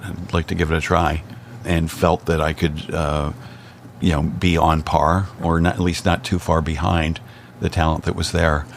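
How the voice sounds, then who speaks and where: An older man with a very deep voice talks as a guest on a casual show. His humor is dry and wry, and he restarts his sentences often. There is faint noise in the background. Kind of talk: casual chat.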